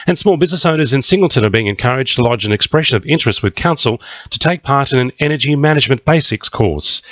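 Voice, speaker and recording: An Australian man reads a scripted news bulletin in a fairly low voice. The sound is dry and close, with a slightly dulled radio tone. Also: fairly bright tone, no echo, lo-fi sound. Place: radio studio.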